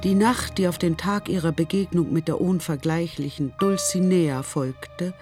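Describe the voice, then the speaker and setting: A man's voice: fairly high pitch, steady tone, normal speed, music in the background, close to an everyday voice. Man as radio play storyteller, literary narration. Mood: storytelling. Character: storyteller narrating a chivalric adventure.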